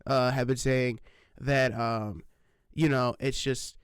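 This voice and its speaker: A man's voice: medium pitch, fairly even intonation, slow, clean tone, dry recording, clean studio sound. Rambling young man on a home mic. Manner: rambling with many fillers and restarts, swears casually, laughs at himself. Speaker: reaction channel host. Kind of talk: casual rambling vlog chat. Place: home recording setup, sitting at desk. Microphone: old desk microphone, sitting close.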